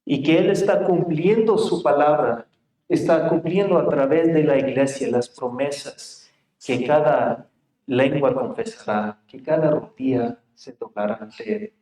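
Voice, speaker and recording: A man's voice: a little high, a little slow, dark tone. A man in his forties with a Mexican Spanish accent, preaching a sermon to a congregation and reading scripture aloud. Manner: reads scripture aloud in a raised, projecting voice; plain, friendly asides to the congregation. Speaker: pastor. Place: church sanctuary. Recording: echoey church room.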